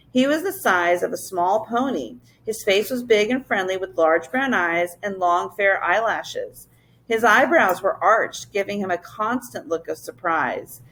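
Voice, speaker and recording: A woman's voice: medium pitch, rough recording. A woman narrating a children's story as a teacher reading aloud to a class.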